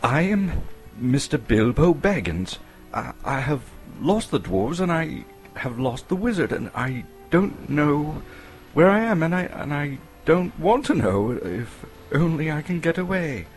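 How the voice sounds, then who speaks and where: A man's voice: fairly high pitch, big pitch swings, unhurried, soft background music, pitched above the performer's own voice. A man playing a nervous, polite little hobbit adventurer in a dramatic storybook reading, flustered and hesitant. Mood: flustered, pleading.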